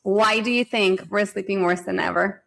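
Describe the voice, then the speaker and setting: Podcast host woman with a gravelly voice. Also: mid-range voice, steady tone, moderate pace, a bit bright. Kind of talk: interview questions and comments.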